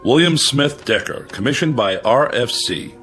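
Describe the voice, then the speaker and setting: An actor playing an earnest, uncertain army major in a radio drama, speaking in a measured, deferential, thoughtful way. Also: male voice, mid-range voice, expressive, fairly bright tone, close to an everyday voice. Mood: businesslike, intrigued. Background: music.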